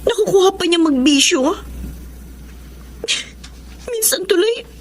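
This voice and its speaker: Worried young wife, high and complaining, radio drama delivery. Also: female voice, expressive, slow, a little hiss or hum, heavily acted. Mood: weary, resentful. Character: worried, nagging young wife short of money. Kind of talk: Filipino radio drama acting.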